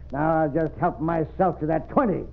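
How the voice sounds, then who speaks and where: A man's voice: on the high side, creaky. A man playing a swaggering, bullying outlaw marshal in a radio western, with an American accent and threatening cowboy slang. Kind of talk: old-time western radio acting. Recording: noisy, narrow-band vintage radio recording.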